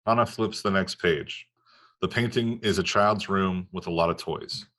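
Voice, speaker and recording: A man's voice: sits low, normal speed, fairly bright tone. A man narrating screenplay stage directions in a scripted table read.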